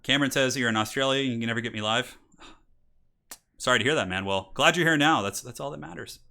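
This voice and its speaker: A man's voice: medium pitch, a little fast, gravelly, bright, forceful tone. A man in his thirties hosting a live stream, reading donations in a chatty way with frequent laughs, then casting a strategy game match with hyped intros. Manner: chatty, reads donations aloud, laughs often. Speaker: streamer and tournament caster. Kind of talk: casual live-stream chat and game commentary.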